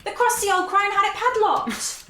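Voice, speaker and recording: A woman's voice: very high-pitched, moderate pace, background noise. An actress playing a brash, rebellious, sardonic sister in an audio drama, taunting and swearing as she talks. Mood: mocking. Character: brash, mocking, rebellious estranged sister, hard-drinking.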